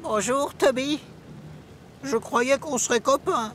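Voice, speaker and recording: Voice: sits high, takes it slowly, a bit bright, slight background noise. An actor voicing an eager, naive young male diesel engine, chatty and childlike, in a French children's cartoon dub. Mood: friendly, curious.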